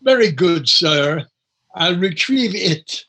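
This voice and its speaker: A very slow, high-voiced snooty butler. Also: male voice, lively intonation, sharp tone, full character voice. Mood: dryly snooty. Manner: formal, deliberate, dryly snooty. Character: formal, polite British-household butler, dryly dismissive. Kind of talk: old-time radio comedy acting.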